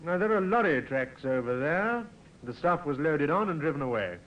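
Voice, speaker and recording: An actor playing a methodical British police inspector, measured and dryly authoritative, in a 1950s radio drama. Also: male voice, a little high, very animated. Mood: deliberate, analytical. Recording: muffled, hissy 1950s radio recording.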